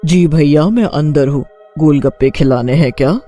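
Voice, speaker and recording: A vengeful young witch played by a man speaks in a cartoon tale. The voice is mellow and fairly high for a man, with a stern, threatening manner. Soft music plays behind. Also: moderate pace, close to an everyday voice. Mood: wary. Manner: stern, confrontational. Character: vengeful witch girl selling street snacks, stern, threatening.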